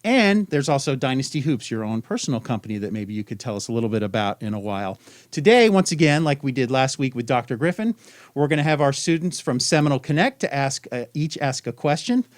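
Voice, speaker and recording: A man's voice: medium pitch, sing-song, quick pace, no echo. A man hosting a school news show, upbeat and chatty, with a joking streak as he introduces guests and questions.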